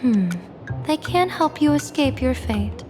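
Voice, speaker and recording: Enigmatic, slow-talking seer strategist over music. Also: female voice, sits high, animated, darkish tone. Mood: intrigued. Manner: measured, musing, theatrical fortune-telling delivery with pauses. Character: enigmatic, playful female seer strategist and general. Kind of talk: English video game voice acting. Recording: music under the voice.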